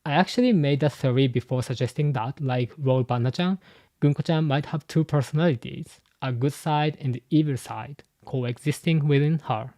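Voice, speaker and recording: A man hosts his channel, walking through his points in a methodical, scripted way. His voice sits at an ordinary middle pitch. The sound is close and dry, with no room echo. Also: moderate pace, warm tone. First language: Japanese. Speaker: YouTube host and translator. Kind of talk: scripted commentary.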